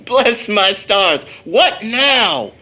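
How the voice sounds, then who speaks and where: A man's voice: high voice, animated, bright, forceful tone, full character voice. An actor playing a young man, a devoted son with a bitter, teasing streak, in a dramatic audio play. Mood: playful, affectionate. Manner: dramatic, emphatic delivery with long pauses. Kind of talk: stage-style audio drama acting.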